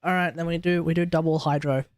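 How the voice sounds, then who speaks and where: A man's voice: on the high side, steady tone, fast talker, dry recording. An Australian man doing casual live game commentary, rambling and thinking aloud in slangy talk. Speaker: game streamer. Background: game music.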